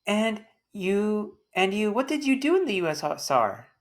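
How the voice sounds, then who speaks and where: Casting: woman playing an eager small girl, high voice, inquisitive and precocious, for a storybook. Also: sing-song, a little slow. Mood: eager, curious. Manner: wide-eyed, questioning.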